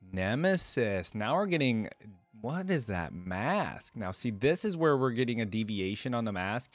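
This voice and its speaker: A man's voice: lowish voice, dry recording. A man hosting a reaction video, chatting casually to viewers and thinking aloud as he comments. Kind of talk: casual commentary, reacting to game music and artwork.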